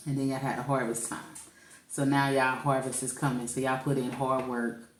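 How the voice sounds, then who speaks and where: A woman co-hosts a podcast in playful, teasing conversation. Her voice sits very low for a woman. The recording has a roomy echo. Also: little pitch movement, moderate pace, slight background noise. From St. Louis, Missouri. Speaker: podcast co-host. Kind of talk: casual chat.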